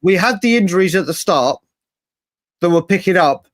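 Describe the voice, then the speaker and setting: English male football show host, fairly high voice, dry sound, matey and digressive live banter. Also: animated, normal speed, dry recording. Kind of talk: casual live fan debate.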